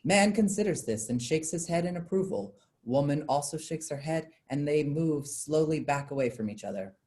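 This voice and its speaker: A woman's voice: low-pitched, expressive, normal speed, dark tone. A woman reading stage directions as scripted narration for a staged play.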